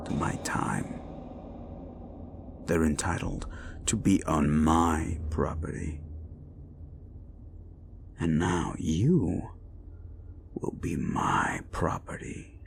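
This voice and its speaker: Man performing a deep gravelly sadistic slasher villain. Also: a little hiss or hum, heavily acted. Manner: slow, taunting, drawn-out, often dropping to whispers. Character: cruel, menacing slasher killer, a big sadistic man. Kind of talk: horror audio roleplay addressed to the listener. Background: music.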